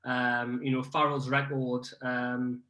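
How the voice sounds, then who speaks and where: A man's voice: medium pitch, flat delivery, takes it slowly, bright, forceful tone. A young man chatting about rugby as a podcast guest, hesitant, with many ums and restarts. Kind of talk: casual podcast chat. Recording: echoey room.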